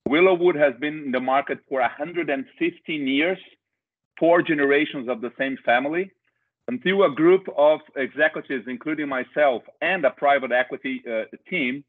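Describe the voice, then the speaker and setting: A middle-aged man from Brazil with a Brazilian accent, presenting a webinar over a video call with hesitant restarts and filler uhs. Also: fairly high pitch. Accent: Brazilian Portuguese. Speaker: company director presenting a webinar. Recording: tinny phone-like video call audio.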